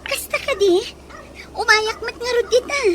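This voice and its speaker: An actress playing a friendly, eager young nurse looking for work in a radio drama. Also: female voice, very high-pitched, measured pace. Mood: excited. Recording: noisy, with ambient sound.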